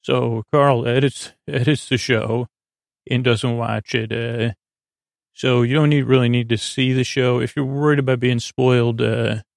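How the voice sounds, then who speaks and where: A man hosting a bedtime podcast in a rambling monologue, halting and stammering, often repeating himself and trailing off mid-word. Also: mid-range voice, fairly even intonation, no echo, studio quality. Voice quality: creaky, gravelly. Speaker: podcast host.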